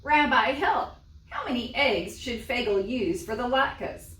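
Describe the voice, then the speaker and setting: A woman's voice: a little high, animated, measured pace, a bit bright, slight background noise, voice pushed up. A woman voicing a simple, earnest village husband, literal-minded and exclamatory, in a children's storybook read-aloud. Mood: urgent. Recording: echoey room, distant mic.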